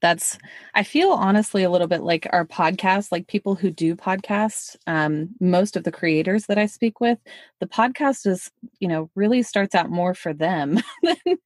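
A woman's voice: lowish voice, dry recording. Lady podcast host, friendly, encouraging, dry close sound. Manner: friendly, encouraging, quick backchannels. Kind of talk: casual interview chat.

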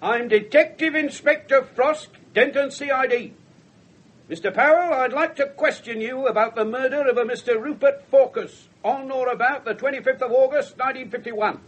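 An actor playing a wry, blunt English detective inspector in a radio drama, talking colloquially. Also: male voice, very high-pitched, moderate pace. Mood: urgent. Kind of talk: 1970s British radio drama acting.

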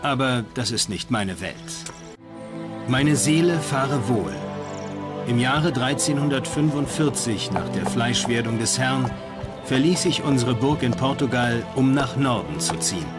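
A man's voice: medium pitch, expressive, unhurried, close to an everyday voice. An actor playing a young, landless medieval knight, devout and ambitious, telling his story in solemn, formal language for a documentary. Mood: solemn, resolute. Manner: solemn, formal, old-fashioned phrasing. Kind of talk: first-person dramatized documentary narration. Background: loud music.

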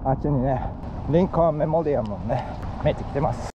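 A man's voice: mid-range voice, fairly quick, lo-fi sound. A man in his thirties chatting casually as he vlogs on a walk through a rainy park, dropping English phrases into his talk, recorded on a handheld action camera. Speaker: vlogger. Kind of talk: casual travel vlog narration. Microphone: action camera held at arm's length. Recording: loud outdoor wind noise. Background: rain, wind and traffic noise.

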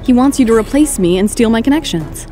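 Woman acting high-voiced, cool, defiant heroine. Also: expressive, quick pace, dark tone, faint music behind, close to an everyday voice. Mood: composed. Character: cool, defiant woman model, composed and cutting. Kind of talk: audio drama acting.

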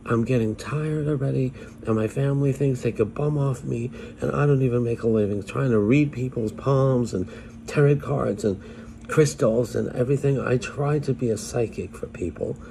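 Weary street fortune-teller woman, a man in character, dark gravelly voice, plaintive phone-camera monologue, faint room hiss. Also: mid-range voice, moderate pace, natural voice. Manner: pleading, plaintive. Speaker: vlogger in character. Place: small room indoors. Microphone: phone at arm's length.

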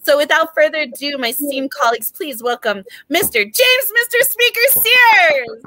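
A woman hosting an online poetry show over a video call, speaking in a measured way with frequent fillers. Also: high voice, lively intonation, noisy background. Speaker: host. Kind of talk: casual chat.

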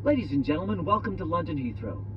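An actor playing a polite airline announcer giving a scripted cabin announcement. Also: male voice, a little high, big pitch swings, fast, background noise. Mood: calm, formal. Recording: dull cabin speaker, music behind.

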